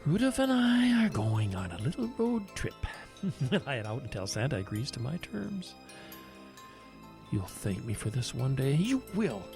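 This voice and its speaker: An actor playing a scheming, greedy travel agent husband in an audio drama, stammering and over-innocent. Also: male voice, medium pitch, very animated, unhurried, gravelly, music in the background.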